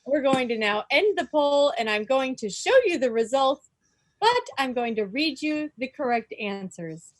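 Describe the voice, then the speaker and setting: A woman's voice: high-pitched, expressive, moderate pace, no echo. A woman hosting an informal quiz for a group, making announcements in a playful, mock-formal manner. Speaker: quiz host at a club meeting.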